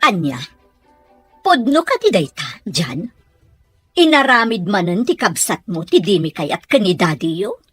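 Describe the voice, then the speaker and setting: A woman's voice: mid-range voice, big pitch swings, measured pace, breathy edge, dry recording, soft background music. A woman with a Filipino accent playing a patient, worried middle-aged mother in a family audio drama. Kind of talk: amateur audio drama acting.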